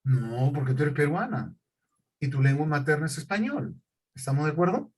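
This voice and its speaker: A man's voice: on the high side, animated, moderate pace, husky. A middle-aged male teacher, lecturing a class and often checking in with questions.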